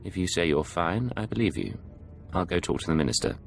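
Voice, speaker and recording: An actor playing a cool, bitter secret agent in a space opera video game, speaking in measured, clipped lines. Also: male voice, sits low, lively intonation, creaky, faint music behind. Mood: calm. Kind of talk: cinematic video game acting.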